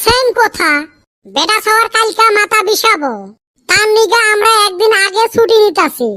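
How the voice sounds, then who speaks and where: A woman's voice: very high voice, normal speed, pushed and bright, no echo, studio quality. A woman voicing cheeky, scheming village schoolboys in a comedy cartoon, arguing and wheedling with mock innocence in a Rajbongshi accent. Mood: argumentative. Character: cheeky, scheming village schoolboy pupils, high-pitched and childlike. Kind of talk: Bengali comedy cartoon dubbing.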